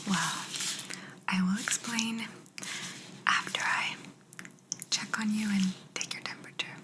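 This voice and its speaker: Woman acting as curious alien medic, soft breathy whisper, very unhurried, gentle, clinical, faintly bemused, ASMR roleplay. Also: mid-range voice, close to an everyday voice, rough recording. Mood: soothing, clinical. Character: polite, curious alien examiner aboard a spaceship.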